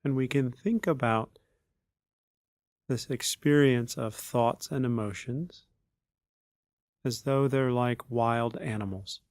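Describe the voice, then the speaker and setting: A man leading a guided meditation as a teacher, speaking in unhurried, measured phrases with long pauses. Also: mid-range voice, darkish tone, no echo, studio quality.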